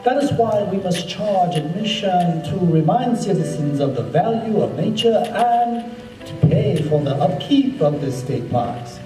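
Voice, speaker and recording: A man playing a solemn colonel and parks official in an amateur radio play, speaking in a measured, oratorical way. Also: sits high, animated. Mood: solemn, earnest. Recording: echoey room, distant mic, music behind.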